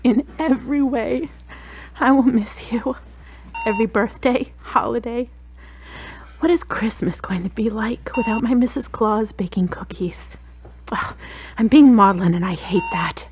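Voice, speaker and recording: An actress playing a grieving adult daughter in an audio drama, talking tenderly and plaintively. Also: female voice, fairly high pitch, unhurried. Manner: tender, plaintive, talking softly to a loved one. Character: grieving adult daughter at her mother's deathbed.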